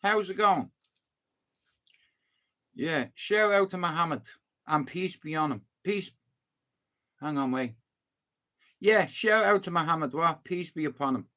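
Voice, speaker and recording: A man's voice: on the high side, animated, measured pace, long pauses, bright, forceful tone. A young Irish man chatting casually with livestream viewers, joking and swearing. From Ireland. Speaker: livestream host.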